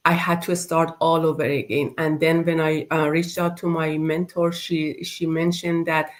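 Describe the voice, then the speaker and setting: Woman host, lowish voice, halting casual chat. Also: little pitch movement. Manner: hesitant, halting with fillers.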